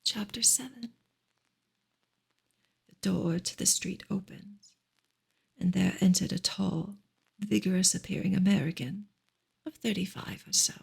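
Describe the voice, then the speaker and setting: A woman softly reading a novel aloud in ASMR style, breathy and hushed, often slipping into a whisper. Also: mid-range voice, steady tone, measured pace, long pauses. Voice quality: breathy, soft-spoken. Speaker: ASMR reader. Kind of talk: soft ASMR audiobook reading, partly whispered.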